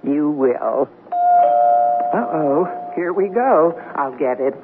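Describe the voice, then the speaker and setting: A woman's voice: sits low, lively intonation, slow pace, exaggerated voice. Through a thin, faintly hissy radio recording comes a newly married artistic woman. She is breezy and affectionate, with widely swinging intonation in the manner of seventies radio drama. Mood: bright, assured. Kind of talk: 1970s radio drama acting. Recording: muffled, noisy old radio broadcast.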